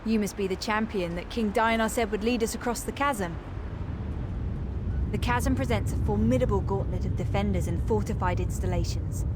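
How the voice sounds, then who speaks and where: A woman's voice: mid-range voice, close to an everyday voice. An actress playing a serious, wary female battle mage giving a matter-of-fact briefing in a video game. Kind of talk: video game character dialogue. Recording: game ambience noise behind voice.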